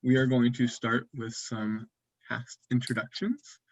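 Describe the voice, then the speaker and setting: A man hosting an online game on a video call, explaining the rules in a relaxed way with many fillers. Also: medium pitch, unhurried, dry recording, lo-fi sound. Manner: relaxed, filler-heavy presenting. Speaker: game host.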